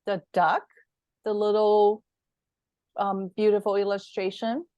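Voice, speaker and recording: A woman's voice: mid-range voice, little pitch movement, slow pace, no echo, lo-fi sound. A woman hosting an online read-aloud event, enthusiastic and full of praise, chatting with a child and a guest. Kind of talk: casual online chat.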